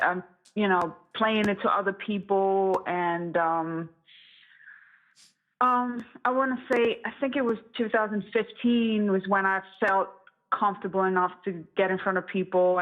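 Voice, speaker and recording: A woman in her thirties from Estonia, talking casually and conversationally as an interview guest who produces music. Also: mid-range voice. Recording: thin, phone-like band-limited audio.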